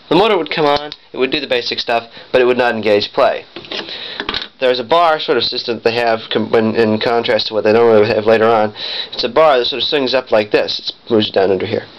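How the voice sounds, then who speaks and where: A man giving a casual, rambling product demonstration, often correcting himself as he goes. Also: medium pitch. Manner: rambling, off-the-cuff, self-correcting. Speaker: vintage audio collector demonstrating equipment. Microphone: handheld camera. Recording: dull lo-fi camera mic with noise.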